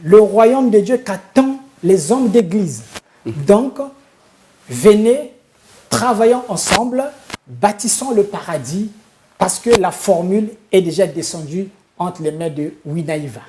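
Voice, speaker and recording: A man from Congo-Brazzaville, a spiritual leader answering a studio interview in a solemn, preaching style, pausing often on hesitant fillers. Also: sits high, animated, noisy background.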